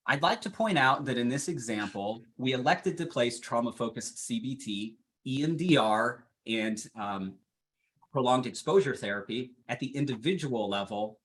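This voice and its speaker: Man presenting slides in a webinar. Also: medium pitch. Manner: steady, reads from prepared slides.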